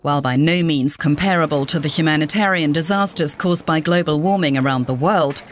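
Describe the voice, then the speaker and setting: A woman with a very low-pitched voice narrates a scripted news report. There is faint noise and ambience behind her. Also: a little fast.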